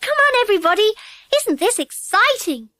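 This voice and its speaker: A woman voicing an excited, adventurous little schoolgirl who exclaims breezily, in a children's audiobook. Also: very high-pitched, normal speed, no echo, clean studio sound, full character voice. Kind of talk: children's audiobook character acting.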